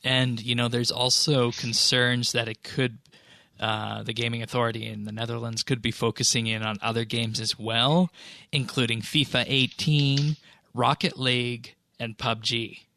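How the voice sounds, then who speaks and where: A man's voice: medium pitch, little pitch movement, normal speed, creaky, bright, forceful tone, no room sound. A man hosting a gaming podcast, running through news items in a casual, conversational way. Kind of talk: casual news rundown and chat.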